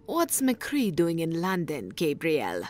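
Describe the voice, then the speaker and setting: A woman playing a sharp, sardonic veteran military officer in a dramatic comic dub. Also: low-pitched, wildly swooping pitch, slow pace, exaggerated voice. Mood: sardonic, pointed. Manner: pointed, sardonic. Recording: music behind the voice.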